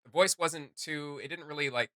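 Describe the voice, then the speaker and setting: A man's voice: fairly high pitch, normal speed, bright, forceful tone, dry recording, studio quality. A man hosting a reaction video, chatting casually and jokily, feeling out his opinions as he goes.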